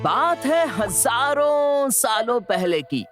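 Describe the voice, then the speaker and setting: A woman's voice: a little high, big pitch swings, slow pace. A woman playing a playful, wise male guide who teaches children in a theatrical, encouraging way in an educational cartoon. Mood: explaining. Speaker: storytelling teacher. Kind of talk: animated educational cartoon narration. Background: background music.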